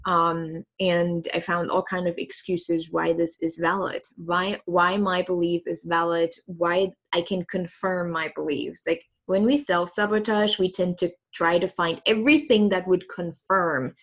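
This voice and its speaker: A woman's voice: on the low side, steady tone, measured pace, few pauses, fairly bright tone. Woman speaking candidly in an interview. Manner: candid, reflective, with frequent ums. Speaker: host. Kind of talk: casual interview talk.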